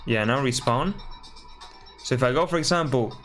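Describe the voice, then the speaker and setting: A man from Spain with a Spanish accent, thinking aloud while building a game on a live stream and often correcting himself with a quick sorry. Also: mid-range voice, a bit bright, soft background music. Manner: mutters through steps, apologizes and corrects himself often.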